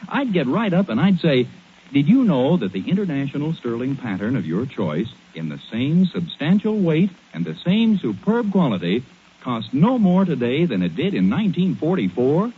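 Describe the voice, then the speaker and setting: A man's voice: a little high, very animated, normal speed, breathy edge. A male radio announcer delivering folksy, scripted sponsor patter in 1940s advertising style. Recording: muffled 1940s radio recording.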